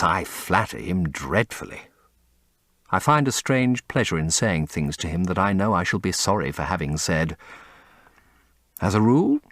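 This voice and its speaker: Man playing intense male painter, audiobook drama, impassioned, confessional, very animated pitch, dry sound. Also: no echo, exaggerated voice. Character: earnest, intense male painter, devoted and anguished. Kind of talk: dramatic audiobook character acting.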